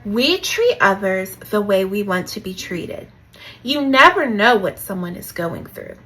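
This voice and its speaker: A woman's voice: medium pitch, very animated, measured pace, pushed and bright, a little hiss or hum, voice pushed down, poor audio. A woman voicing a kindly storybook mother owl who nurtures and guides her child with moral advice. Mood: gently instructive.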